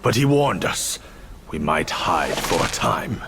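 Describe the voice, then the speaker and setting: A man's voice: sits low, sing-song, noisy background, exaggerated voice. A man voicing a grave, vengeful male dragon spirit in a video game cutscene, speaking in a solemn, heavy way. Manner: solemn, heavy slow delivery. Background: music.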